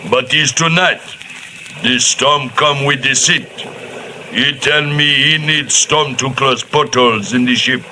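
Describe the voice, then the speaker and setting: An old voodoo fake in broken English. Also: male voice, mid-range voice, pushed and bright, poor audio. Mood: fearful. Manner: broken English, slow, imperious. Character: old male voodoo fake, menacing, superstitious. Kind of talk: 1940s radio acting. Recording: old radio recording with faint hiss.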